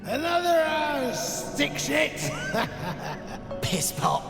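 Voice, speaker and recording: A performer playing a crude, bullying overseer in a video game, with gravelly, coarse taunts. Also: mid-range voice, wildly swooping pitch, slow, heavily acted. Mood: jeering. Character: crude, bullying slave-driving overseer, jeering and cruel. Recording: echoing space, loud music.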